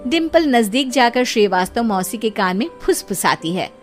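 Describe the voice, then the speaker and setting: A woman narrating a children's moral story in a lively, playful way. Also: a little high, normal speed, faint music behind.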